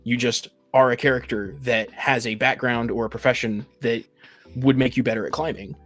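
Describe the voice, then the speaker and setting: A man in a casual discussion, explaining his view of tabletop roleplaying haltingly and often rephrasing. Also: mid-range voice, fairly even intonation, a bit bright, no room sound. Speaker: game master and discussion panelist. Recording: faint music in the background. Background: faint music.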